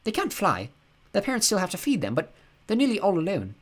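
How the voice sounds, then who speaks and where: A man's voice: wildly swooping pitch, fairly quick, exaggerated voice. A man voicing an earnest young girl who loves birds, speaking in a raised, urgent voice. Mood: instructive. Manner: raised pitch, urgent and hushed. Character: earnest young girl, bird-loving, intense.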